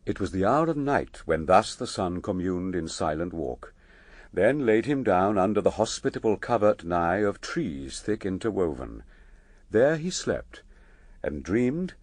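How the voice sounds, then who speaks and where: Male narrator, stately epic verse reading, unhurried, widely varied intonation, dry recording. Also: deep voice, husky, no room sound. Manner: stately, measured, expressive. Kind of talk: scripted dramatic verse narration.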